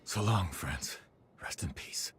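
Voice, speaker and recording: A man's voice: sits low, expressive, slow, whispered, loud music behind the voice. A man playing a loyal, easygoing warrior ally in a video game, weary and rueful, talking in a casual, confiding way. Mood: grieving, solemn. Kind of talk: video game cutscene voice acting.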